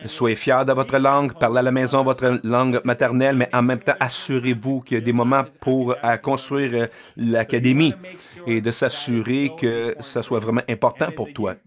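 A man's voice: mid-range voice, little pitch movement, normal speed. A man giving a live spoken interpretation of a keynote over a video call, hesitant, with frequent filler sounds and restarts.

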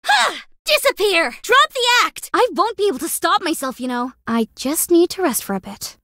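A woman's voice: extremely high pitch, sing-song, normal speed, bright, forceful tone, heavily acted. A woman voicing a brash, tomboyish young stunt actress with an Australian accent, delivering game voice lines. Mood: fierce. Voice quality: cocky, brash, scrappy. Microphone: close studio mic.

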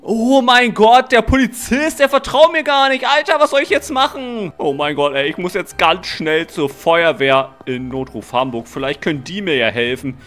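A young man narrating a roleplay game in a dramatic, urgent, slangy way, excited as he plays. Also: sits high, normal speed, hardly any pauses. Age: young adult. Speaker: video creator playing a roleplay game. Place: indoor streaming room. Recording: music behind the voice.

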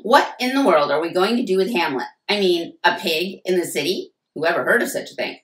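A woman's voice: fairly high pitch, wildly swooping pitch, a little fast, sharp tone, distant mic, pitched above the performer's own voice, exaggerated voice. A woman voicing a cocky young man, a big brother, in a storybook reading. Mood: breezy. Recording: roomy echo.

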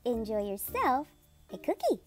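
A woman reading a picture book aloud to children as a storytelling host, with expressive, drawn-out playful emphasis. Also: a little high, faint music behind.

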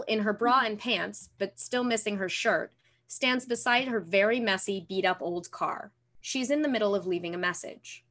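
Female narrator, bright and crisp-edged tone, mid pitch, reading script directions on a video call. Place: room at home. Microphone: computer on video call.

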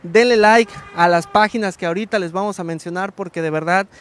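A man's voice: sits high, brisk. A man in his thirties hosting a street interview by the sea, joking and upbeat, speaking into a handheld microphone. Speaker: reporter and host. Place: seaside street outdoors in sunshine.

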